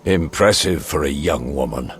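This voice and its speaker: An actor playing a cautious senior mentor of monster hunters in a video game, deliberate and authoritative. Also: male voice, very deep voice, a bit bright, slight background noise. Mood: concerned.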